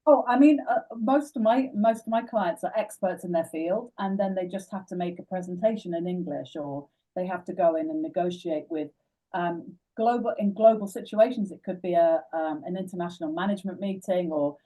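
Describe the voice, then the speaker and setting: Woman english teacher hosting, mellow lowish voice, sounds a bit far from the mic. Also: normal speed. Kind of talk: interviewing a guest. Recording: distant mic.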